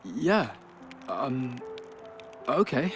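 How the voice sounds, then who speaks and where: A man's voice: mid-range voice, very animated, drawn-out words, soft background music. A man playing a curious, eager, slightly unsure youngster in a video game cutscene.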